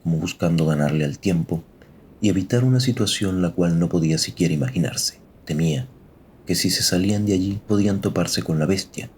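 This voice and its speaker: Very deep male story narrator. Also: brisk, a little mellow, a little hiss or hum. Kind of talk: scripted dramatic story narration.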